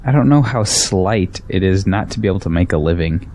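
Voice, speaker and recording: A man's voice: deep voice, lively intonation, fairly quick, a little mellow. A man playing a defensive, ambitious young businessman in an audio drama, arguing skeptically and proudly. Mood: skeptical, resistant. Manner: defensive, argumentative.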